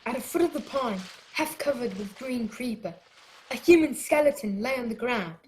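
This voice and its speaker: A woman playing a young boy who narrates a pirate adventure radio play in an expressive storybook way. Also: fairly high pitch, moderate pace, slight background noise, close to an everyday voice, low-quality recording. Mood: suspenseful.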